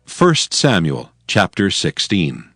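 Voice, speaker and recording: A man's voice: deep voice, wildly swooping pitch, takes it slowly, sharp tone, husky, no echo, studio quality, soft background music. A man narrating scripture from a script in a solemn, formal storytelling manner.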